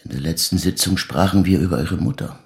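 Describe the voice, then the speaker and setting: A man playing a calm, patient psychoanalyst in a naturalistic radio drama, measured and probing. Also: low-pitched, vocal fry.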